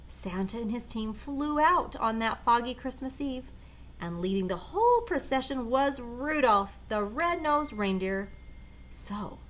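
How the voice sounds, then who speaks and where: An older woman, a grandmother, gently reading a children's story aloud and talking to a child. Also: on the high side. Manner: gentle, slow, speaking to a child.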